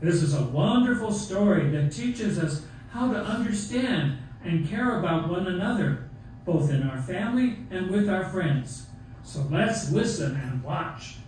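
A man's voice: high voice, expressive, a little slow, a little mellow. A man playing a jolly, hearty Santa Claus introducing an amateur puppet show. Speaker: show announcer. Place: theater, camera far from puppet stage. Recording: distant echoey theater recording, faint noise.

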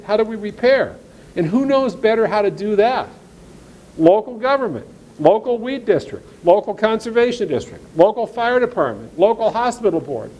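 A man's voice: sits high, darkish tone, rough recording. A man giving a blunt, sarcastic talk at a farm meeting, reading passages of law aloud and commenting on them. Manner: reads legal text aloud, then comments. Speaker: speaker at an agricultural seminar. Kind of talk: folksy presentation to ranchers.

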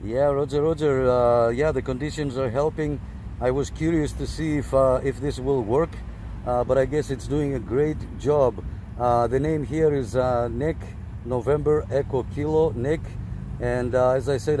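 A man's voice: mid-range voice, steady tone, moderate pace, warm tone, a little hiss or hum. Man chatting on CB radio from his car. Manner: radio lingo, repeats phrases, many fillers. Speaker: CB radio operator and vlogger. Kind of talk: casual radio chat and on-camera walkthrough. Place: parked car in a city lot, then outdoors.

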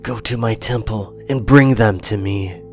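A man's voice: lowish voice, measured pace, music in the background. A man hosting and acting in a dramatic improvised Minecraft roleplay. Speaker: gaming roleplay host.

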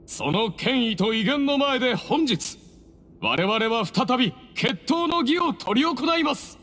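An actor playing a formal, reverent master of a sacred duel ceremony in a video game, in declamatory speech. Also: male voice, very high voice, big pitch swings, measured pace, a bit bright, heavily acted. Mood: solemn. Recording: echoing hall, faint music behind.

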